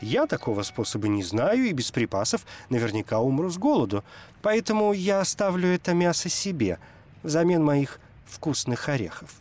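A man's voice: on the high side, sing-song, normal speed, darkish tone, voice pushed up. A man plays a sly-tongued palm rat from a storybook tale. He answers back mockingly and unbothered, in a lifted, pointed voice.

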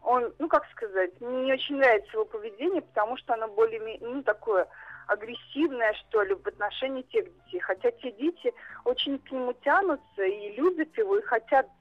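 A woman in her thirties speaks over a dull telephone line, with little room sound. Her voice is fairly high. She is a caller hesitantly explaining a personal problem on air. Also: a little fast, no room sound. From Russia. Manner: hesitant, searching for words. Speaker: radio phone-in caller. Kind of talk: spontaneous account of a personal problem. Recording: dull phone line into radio show.

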